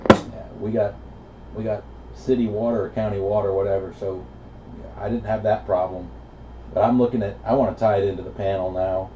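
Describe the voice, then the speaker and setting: A middle-aged American man chatting casually over CB radio in a laid-back, halting way. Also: lowish voice, steady tone. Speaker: amateur radio operator. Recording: echoey, muffled, noisy CB radio reception.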